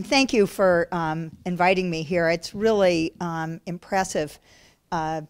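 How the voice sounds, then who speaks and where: A woman in her sixties speaking from a podium in a fairly low voice. She has a naturally loud delivery, talks in an appreciative tone, and leans on fillers. She is recorded on a podium microphone in a community room. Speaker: health center executive director. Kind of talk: speech at a community breakfast. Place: large community function room.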